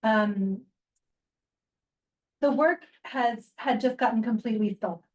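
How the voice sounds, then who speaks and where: A woman with a soft voice giving a school update in an online meeting, with fillers between phrases. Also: fairly high pitch, lo-fi sound. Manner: halting, with fillers. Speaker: council member giving an update. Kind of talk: virtual meeting report.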